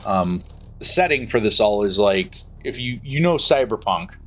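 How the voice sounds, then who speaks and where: A man's voice: on the low side, expressive, moderate pace, a bit bright. A young man streaming, chatting casually and thinking aloud as he writes a story. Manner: thinking aloud, trailing off, muttering while typing. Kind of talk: casual livestream chat while writing a story. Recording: faint music in the background.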